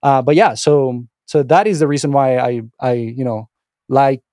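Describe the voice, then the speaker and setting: A man's voice: medium pitch, moderate pace, a little mellow, no echo. Venezuelan man, dry close sound. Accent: Venezuelan Spanish. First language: Spanish. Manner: rambling, many restarts and fillers. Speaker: interview guest, instructor and author. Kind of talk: casual interview conversation.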